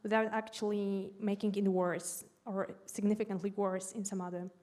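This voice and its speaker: A woman whose first language is Polish, an educator commenting in a panel discussion in a measured, explanatory way with small restarts. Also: mid-range voice, little pitch movement, warm tone.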